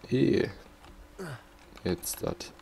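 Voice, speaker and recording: A man's voice: extremely slow, background noise. A man giving casual gameplay commentary. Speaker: let's player. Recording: game music faintly behind. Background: faint video game music.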